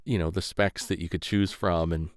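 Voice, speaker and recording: A man's voice: sits low, fairly even intonation, moderate pace, no room sound, clean studio sound. A middle-aged man co-hosting a podcast, chatting casually in a rambling, self-deprecating way. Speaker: podcast co-host. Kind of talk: casual chat.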